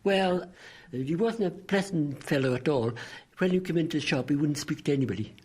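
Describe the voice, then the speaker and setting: A man in his late eighties with a Scottish Highland accent, recalling the past in conversation and repeating phrases for emphasis. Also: lively intonation, fairly quick, darkish tone, breathy edge. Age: late 80s. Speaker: local resident interviewee. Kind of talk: recollection in conversation.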